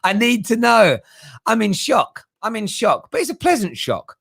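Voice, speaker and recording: A man's voice: sits high, very animated, moderate pace, creaky, a bit bright, slightly rough. A man hosting a football fan livestream, chatting bluntly and emphatically about viewer comments and often repeating himself. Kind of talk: casual live fan talk, reading out viewer comments.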